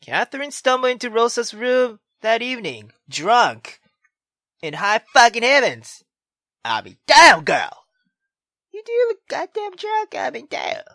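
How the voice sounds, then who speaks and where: Lively, slow-reading woman gaming host. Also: a little high, no echo, clean studio sound. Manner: expressive, swearing, exclamatory asides. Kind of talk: visual novel read-aloud with casual commentary. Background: faint game music.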